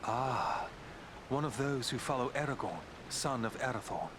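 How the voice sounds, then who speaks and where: A man performs a noble elven prince for a fantasy video game. The voice sits at a medium pitch, composed and courtly, with formal, measured phrasing. There is clear ambient noise behind it. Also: lightly acted. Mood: courteous, curious. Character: male woodland elf prince, noble, composed, solemn.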